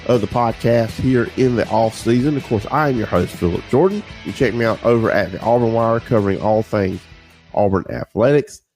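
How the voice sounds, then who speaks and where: A man hosts a casual sports podcast in a lowish, gravelly voice. He sounds slightly nasal and congested from a cold. His delivery is informal and conversational, recorded dry and close. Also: darkish tone, no room sound. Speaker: podcast host.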